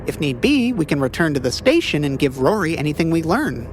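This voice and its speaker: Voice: medium pitch, fairly quick, a little hiss or hum, lightly acted. An actor playing a dry, capable government agent who teases a detective partner in an audio drama. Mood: guarded. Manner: dry, deadpan teasing.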